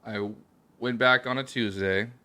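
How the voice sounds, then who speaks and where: A man's voice: mid-range voice, steady tone, measured pace, pushed and bright. Joking podcast co-host, a man. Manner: joking, explains things at length. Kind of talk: casual chat. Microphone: headphones with a remote call setup.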